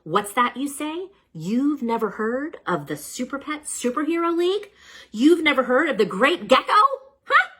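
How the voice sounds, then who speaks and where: A woman reading a children's storybook aloud as a storyteller, dramatic and expressive, with chatty asides to the listeners. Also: high-pitched, normal speed, slightly rough.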